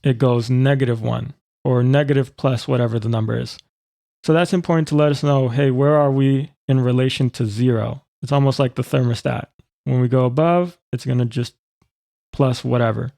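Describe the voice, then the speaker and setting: Creaky, mellow man giving a software tutorial. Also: mid-range voice, fairly even intonation, normal speed, dry recording, studio quality. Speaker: tutorial presenter. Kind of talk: informal step-by-step software demonstration.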